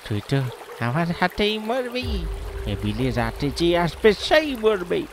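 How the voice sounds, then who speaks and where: A man's voice: high voice, huge pitch swings, slow, slight background noise, exaggerated voice. A man voicing a murderous, taunting swamp ghost that threatens its victim in a horror cartoon. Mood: menacing.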